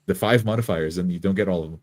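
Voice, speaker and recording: A man's voice: sits low, quick pace, darkish tone. A man who plays tabletop games, casually explaining his thoughts with examples and checking in as he goes. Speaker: tabletop player and game designer. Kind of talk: casual explanatory chat about roleplaying games.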